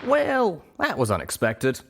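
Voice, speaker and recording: A man's voice: high-pitched, huge pitch swings, measured pace, gravelly, slightly rough, voice pushed up, full character voice. A man voicing a teasing male pony companion with a folksy, drawling cowboy manner in a story reading. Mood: wry.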